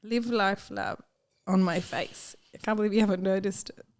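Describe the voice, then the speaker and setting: A woman's voice: medium pitch, steady tone. A woman in her thirties co-hosting a podcast, chatting casually and laughing often. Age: 30s. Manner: playful, quick to laugh. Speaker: podcast co-host. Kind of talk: casual chat.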